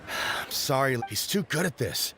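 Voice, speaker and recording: A man's voice: medium pitch, lively intonation, unhurried, noisy background. A man voicing an apologetic undercover agent reporting back, earnest in manner. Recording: game audio with music behind.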